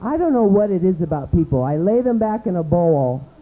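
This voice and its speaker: Stand-up comic, male, high voice, slow and campy, tinny club recording with hum. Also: sing-song. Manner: campy, dramatic delivery with sudden swoops into low voice. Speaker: stand-up comedian. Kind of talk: stand-up comedy set. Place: small comedy club.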